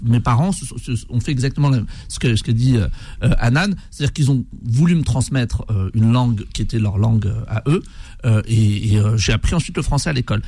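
A man's voice: medium pitch, a little fast, warm tone, slightly rough, no room sound. A man whose first language is Kabyle, a guest in a radio studio debate, insistent and full of hesitant fillers.

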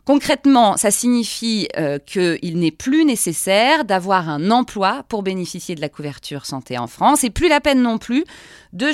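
A woman host who narrates and interviews guests in a clear, measured way. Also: medium pitch, dry recording, studio quality. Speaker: podcast host and journalist.

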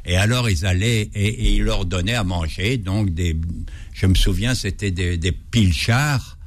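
A man's voice: deep voice, unhurried. An older man with an Ardennes French accent, a surgeon and anthropologist guest telling anecdotes in a folksy, joking, rambling radio interview.

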